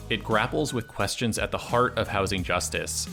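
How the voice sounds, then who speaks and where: A man hosting a policy podcast, reading a scripted intro and then chatting with guests in a measured way. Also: fairly low pitch, creaky, sharp tone, soft background music. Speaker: podcast host.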